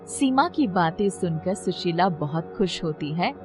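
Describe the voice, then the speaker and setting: महिला कहानीवाचक, पीछे संगीत. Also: female voice, lowish voice, expressive, a little slow, over music. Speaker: storyteller. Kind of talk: scripted children's story narration.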